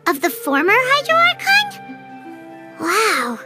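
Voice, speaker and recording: A woman voicing a tiny, childlike companion creature in a video game, curious, excitable and cheeky. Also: very high voice, slow, loud music behind the voice, heavily acted. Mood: curious, doubtful. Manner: childlike, expressive, inquisitive. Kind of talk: scripted game cutscene dialogue.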